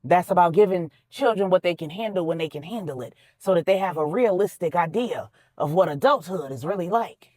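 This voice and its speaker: Candid matriarch for audio fiction, acted by a woman; preacherly, heartfelt counsel with lively pitch movement. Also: brisk, hardly any pauses, dry recording, studio quality. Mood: earnest. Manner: earnest, emphatic, preacherly, folksy. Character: wise, candid older mother giving heartfelt counsel.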